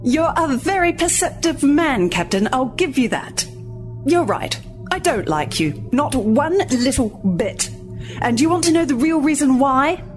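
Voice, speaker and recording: A woman's voice: high-pitched, very animated, measured pace, soft background music. An actress playing a prickly, sardonic corporate woman on a space station in a sci-fi audio drama. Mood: sarcastic. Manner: sardonic, cutting.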